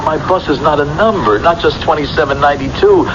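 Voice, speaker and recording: A man's voice: a little high, fast, close to an everyday voice. A man playing an obsessive, defensive bus driver husband with earnest intensity in vintage radio drama style. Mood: fervent, obsessed. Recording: dull, noisy old radio drama recording.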